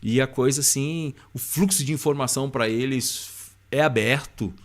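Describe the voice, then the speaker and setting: A man's voice: medium pitch, normal speed. A man with a Brazilian accent hosting a podcast in a casual chat style, informal and slangy. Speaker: podcast host.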